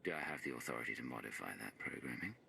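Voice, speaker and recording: A serious, commanding game hero with an extremely deep voice. He speaks briskly and directly, with a gravelly edge and natural authority. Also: expressive, bright, forceful tone, slight background noise, heavily acted. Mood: stern, commanding. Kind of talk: video game cutscene voice acting.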